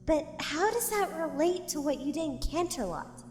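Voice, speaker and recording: A woman as a high-pitched anxious young unicorn. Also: expressive, moderate pace, a little mellow, faint music behind. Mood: intrigued, wary. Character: young female unicorn, anxious, earnest and curious. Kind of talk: audio drama acting of fan fiction. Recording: echoey hall reverb.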